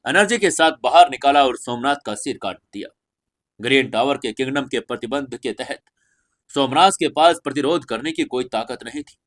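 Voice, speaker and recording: A man's voice: mid-range voice, a bit bright. A man narrating a serialized fantasy audio story as its host, in a scripted dramatic style.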